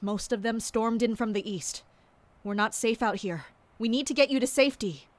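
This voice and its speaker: A woman in an audio drama playing a loyal royal guard captain, brisk, alert and protective. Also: fairly high pitch, no room sound, close to an everyday voice. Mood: urgent, protective.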